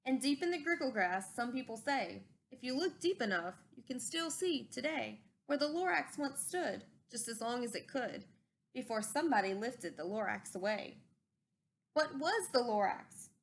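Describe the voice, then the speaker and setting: A woman with a high-pitched voice reads a children's picture book aloud. Her delivery is expressive storytelling that follows the rhyme of the verse. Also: normal speed, a little hiss or hum. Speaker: storybook reader.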